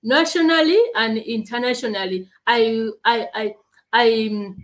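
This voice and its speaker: A woman from Uganda, answering interview questions in slow, halting speech with long pauses. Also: on the high side. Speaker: guest, leadership coach and speaker.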